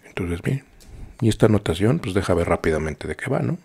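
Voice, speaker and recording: A man's voice: sits low, lively intonation, brisk, vocal fry, a little mellow, slightly rough. A middle-aged man with a Mexican accent, teaching art on a live stream in a rambling, chatty way full of fillers and restarts, talking straight to his students. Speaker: art instructor. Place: small home studio.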